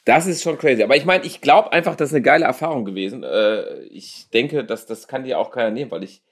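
A man's voice: mid-range voice, expressive, fast. A man from the Ruhr area interviewing a guest in casual conversation, slangy and agreeable, with lots of fillers. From Ruhr area, Germany.

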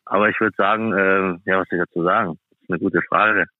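A man with a lowish voice answers casually as an interview guest. He is hesitant and plain-spoken, and the recording sounds dull and muffled, with little room sound. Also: fairly even intonation, fast, dry recording. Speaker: guest, professional ice hockey player.

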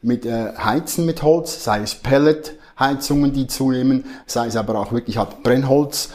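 Swiss guy in his 40s, earnest podcast guest, lots of little restarts, medium pitch. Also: normal speed, a little mellow. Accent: Swiss German. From Switzerland. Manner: earnest, with hesitations and self-corrections. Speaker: guest, marketing head. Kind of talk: podcast interview.

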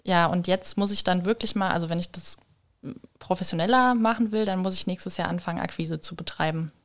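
A woman with a slightly low voice talks as a podcast guest. Her delivery is informal and conversational, a little tentative, with frequent small qualifications. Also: fairly quick. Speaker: podcast guest, freelance designer. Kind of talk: casual interview chat. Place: kitchen.

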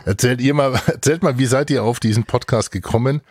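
A man's voice: mid-range voice, fairly quick, darkish tone, dry recording, clean studio sound. A man hosting a casual podcast chat in a jovial, teasing way. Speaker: podcast host. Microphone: close studio mic with headset.

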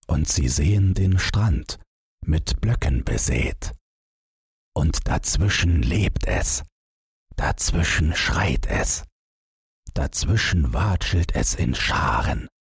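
A man narrates a literary story in an extremely low, gravelly voice. His delivery is measured and dramatic, taking its time with each phrase. The sound is very breathy and husky. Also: expressive, no echo, studio quality. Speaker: audiobook narrator.

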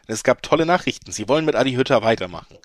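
A man co-hosting a football betting podcast, slightly hoarse from a cold, talking in a rambling, emphatic way and often correcting himself. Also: mid-range voice, expressive, very fast, no echo, studio quality. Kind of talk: casual football analysis chat.

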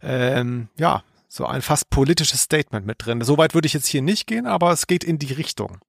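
A man's voice: medium pitch, animated, fairly quick, creaky, no room sound, studio quality. A man co-hosting a podcast in casual, analytical conversation. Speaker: podcast co-host.

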